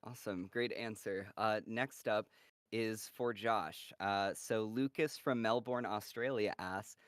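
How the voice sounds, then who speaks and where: A man's voice: lowish voice, steady tone, slow pace, fairly bright tone, clean tone, no echo, clean studio sound. A man hosting a casual livestream Q&A who laughs often and chats encouragingly. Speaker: host of the cast Q&A.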